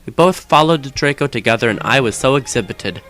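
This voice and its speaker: A man's voice: mid-range voice, expressive, brisk, fairly bright tone. A man reading fan fiction aloud as a dramatic narration, with music behind him.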